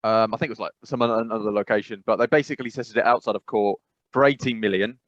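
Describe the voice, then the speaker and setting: A man's voice: lowish voice, steady tone, very fast. Rambling English man on a dull mic. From England. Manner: rambling, hesitant, many fillers and restarts, laughs while talking. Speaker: podcast co-host. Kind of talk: casual podcast chat.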